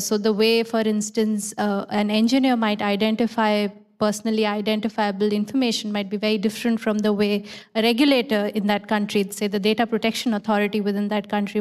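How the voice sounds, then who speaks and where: A woman panelist answering an audience question at an academic conference, measured and thoughtful, often pausing to restate herself. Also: fairly high pitch, darkish tone. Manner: measured, self-correcting, thoughtful. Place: conference hall. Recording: reverberant hall.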